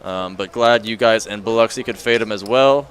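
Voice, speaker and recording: A man's voice: fairly low pitch, moderate pace. An American man doing casual live poker commentary, chatting in a laid-back, colloquial way. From Florida, United States. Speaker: regular poker stream commentator.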